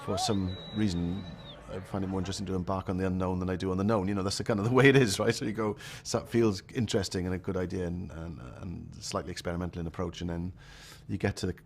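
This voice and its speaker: Deep gravelly fast-talking man. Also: flat delivery, slight background noise. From Wales. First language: Welsh. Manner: reflective, thinks aloud with fillers. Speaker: filmmaker. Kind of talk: documentary interview.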